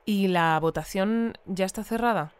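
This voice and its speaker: An actress plays a composed woman hosting a community bulletin in a radio drama. Her voice is smooth and crisp at a medium pitch, and her manner is polite and orderly. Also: normal speed, no echo, lightly acted. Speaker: news bulletin host. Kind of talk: scripted radio interview acting.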